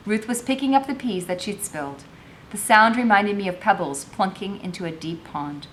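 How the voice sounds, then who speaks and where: A woman's voice: moderate pace, fairly bright tone. A woman reading a novel aloud as a teacher, with narration and informal explanation. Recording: faintly noisy home recording with low rumble.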